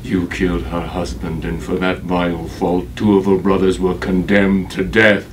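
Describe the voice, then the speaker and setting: A man's voice: deep voice, flat delivery, lightly acted. An actor playing a vengeful, grief-maddened Roman father in a declamatory Shakespearean stage monologue. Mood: grim, controlled. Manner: declamatory, deliberate, emphatic. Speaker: actor in a workshop. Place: acting workshop, indoors. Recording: distant mic, clearly noisy workshop room.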